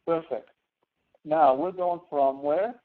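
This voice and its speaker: A man teaching a spreadsheet lesson online, explaining informally and a little haltingly. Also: fairly high pitch. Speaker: instructor. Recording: tinny phone-like audio.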